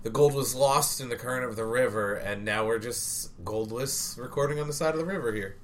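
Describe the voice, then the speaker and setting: A man's voice: mid-range voice, fairly quick, slight background noise. A young man co-hosting a comedic podcast, goofy and playfully insistent. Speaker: podcast co-host.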